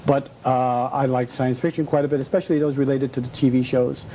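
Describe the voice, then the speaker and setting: A man's voice: mid-range voice, little pitch movement, a little fast, warm tone. A man answering interview questions casually as a collector and guest. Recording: faint ambient background noise.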